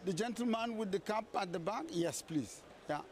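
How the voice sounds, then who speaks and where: A man's voice: sits high, lively intonation, moderate pace, darkish tone, slight background noise. A man moderating a panel's audience questions in short, directive, unscripted phrases. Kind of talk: brisk event moderation, unscripted.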